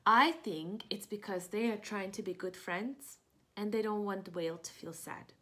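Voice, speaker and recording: A woman's voice: medium pitch, moderate pace, fairly bright tone. A young woman doing a picture-book read-aloud for small children, expressively explaining and asking questions. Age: young adult. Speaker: preschool teacher reading aloud. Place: living room indoors.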